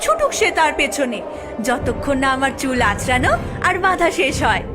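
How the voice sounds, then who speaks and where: A woman voicing a gentle, sorrowful young princess living as a servant in a cartoon fairy tale, plaintive and earnest. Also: high voice, very animated, normal speed, over music. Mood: firm, commanding.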